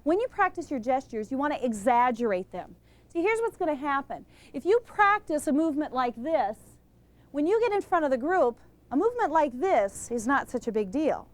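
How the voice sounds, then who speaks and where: A woman giving an energetic lesson on public speaking to a live group, emphatic and quizzing the room. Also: high-pitched, moderate pace. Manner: energetic, emphatic, asks questions of the audience. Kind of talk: instructional talk to a live group.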